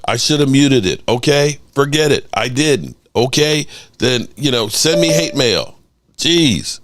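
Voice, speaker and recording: A middle-aged man hosting a sports call-in show, animated and joking, swearing freely. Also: mid-range voice, no room sound. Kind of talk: casual call-in show talk.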